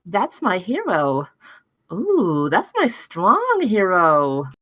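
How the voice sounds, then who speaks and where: A woman's voice: mid-range voice, wildly swooping pitch, drawn-out words, a bit bright, no room sound, exaggerated voice. A woman playing a gushing, attention-seeking college party girl in a live table read. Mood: adoring.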